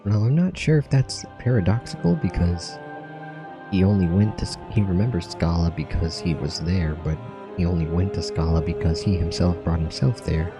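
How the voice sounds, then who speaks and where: A man's voice: deep voice, normal speed, music in the background. A man hosting a gaming channel, casually narrating and commenting as he plays through a game.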